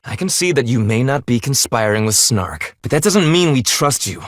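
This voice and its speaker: A man's voice: mid-range voice, expressive, normal speed, no room sound, studio quality, close to an everyday voice. A man voicing a stern, blunt young half-human leader in a video game, speaking in a clipped, formal, commanding way. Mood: coldly warning. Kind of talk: English video game voice acting.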